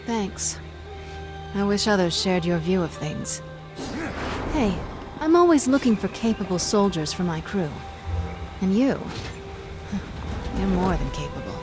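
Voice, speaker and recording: A woman playing a confident, scheming pirate captain in a video game, brisk, wry and self-assured. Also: medium pitch, lively intonation, natural voice. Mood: recruiting. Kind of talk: dramatic video game acting. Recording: game music behind the voice. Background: game music.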